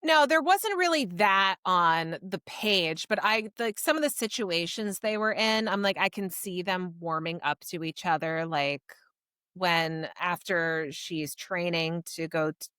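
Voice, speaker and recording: A woman co-hosting a podcast, talking casually about books in a measured, conversational way. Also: fairly low pitch, pushed and bright, clear, resonant tone, no room sound, studio quality. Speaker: podcast co-host. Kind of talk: casual book review chat.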